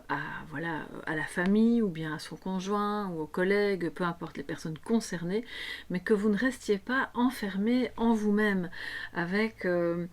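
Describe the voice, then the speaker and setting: A slightly hoarse woman hosting a tarot guidance video, speaking unscripted to her viewers in an explanatory way and often checking in with them. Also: on the low side.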